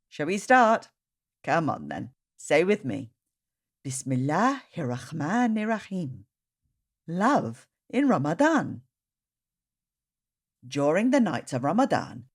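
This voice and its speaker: A woman's voice: mid-range voice, slightly rough. A woman hosting a children's read-aloud, telling a story expressively and speaking directly to young listeners. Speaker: children's story reader and host.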